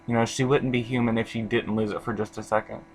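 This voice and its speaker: A man's voice: medium pitch, flat delivery, fast, a little hiss or hum, rough recording. A young man from Indiana casually reviewing an album, rambling with lots of fillers and restarts.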